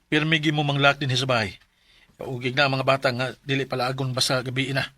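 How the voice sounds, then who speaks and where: A man's voice: medium pitch, flat delivery, a little fast, bright, forceful tone, dry recording. A man acting an affectionate, busy musician husband with a Cebuano Filipino accent in a Bisaya radio drama. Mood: caring, reminding.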